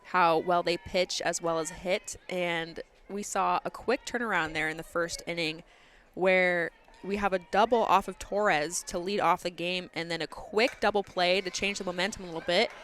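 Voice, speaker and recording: A woman with an American accent giving live analysis as a color commentator on a softball broadcast. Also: fairly low pitch, steady tone, normal speed, a little hiss or hum.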